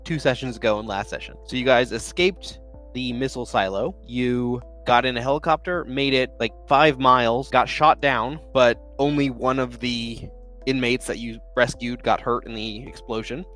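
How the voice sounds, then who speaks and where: A man recovering from being sick, his voice deeper than usual, recapping a tabletop game as game master in a laid-back, methodical way. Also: moderate pace, a bit bright, faint music behind. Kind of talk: casual tabletop game narration.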